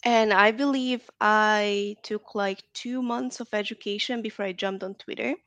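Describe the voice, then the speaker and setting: Woman, chatty, gravelly, dry recording. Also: mid-range voice, fairly even intonation, unhurried, a bit bright, no room sound. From Hungary. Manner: chatty, frequent "like" and "um". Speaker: interview guest. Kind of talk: casual interview chat.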